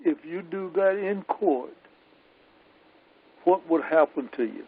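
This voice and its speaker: A man's voice: on the high side, slow. A man taking part in a conference call, answering questions in a casual, conversational way. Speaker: call participant being quizzed. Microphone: conference phone call. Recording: muffled, faintly noisy phone conference line.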